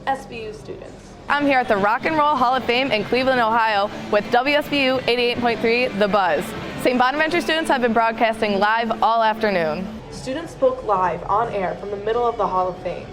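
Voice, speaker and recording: A woman's voice: on the high side, normal speed, music in the background. A young woman working as a student TV reporter, reading a scripted news report. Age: young adult.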